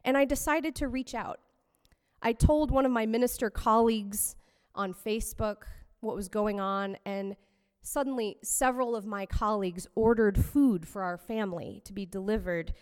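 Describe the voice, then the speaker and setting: A woman minister giving a personal, reflective sermon in a measured way. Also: mid-range voice, a little mellow, smooth. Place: fellowship hall. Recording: long hall reverb.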